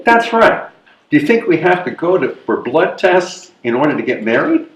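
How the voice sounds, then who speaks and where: A man's voice: a little high, sing-song, moderate pace. A man playing a hesitant, fretful elderly widower in a readers theatre comedy, protesting and questioning. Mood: nervous, questioning. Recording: echoey live room recording.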